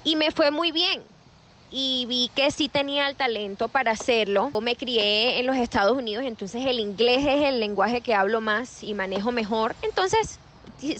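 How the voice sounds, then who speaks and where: A young adult woman from Venezuela talks as an actress guest in an interview. Her voice sits a little high and she speaks in an animated way, often restarting and repeating words as she goes. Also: moderate pace, slight background noise. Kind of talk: interview answers.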